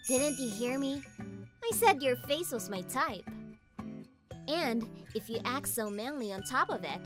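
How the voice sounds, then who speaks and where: Woman as a high, brash anime gang girl. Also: expressive, a little slow, music in the background. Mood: smitten, confident. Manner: forward, self-assured. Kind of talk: manga dub character acting.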